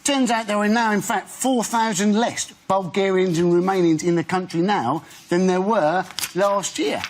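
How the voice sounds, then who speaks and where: A man's voice: high voice, normal speed, a little hiss or hum. A male comedian on a panel show, telling topical jokes with dry setups. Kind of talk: stand-up style topical jokes. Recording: studio audience laughter.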